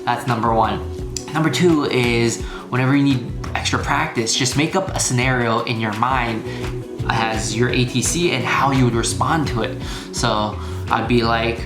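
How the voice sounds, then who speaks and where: A man's voice: medium pitch, fairly even intonation, moderate pace. A man giving a casual, informal tutorial as a video instructor, repeating key phrases for emphasis. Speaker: flying instructor, video host. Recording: roomy echo, music behind. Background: background music.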